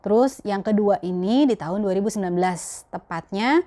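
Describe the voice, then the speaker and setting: A young woman from Jakarta speaks with a Betawi accent in an everyday mid-pitched voice. She chats colloquially to her viewers, answering their questions in a casual vlog style. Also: a little fast, dark tone. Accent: Betawi Jakarta Indonesian. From Jakarta, Indonesia. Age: young adult. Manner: chatty, colloquial. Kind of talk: casual chat to camera. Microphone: clip-on lapel mic.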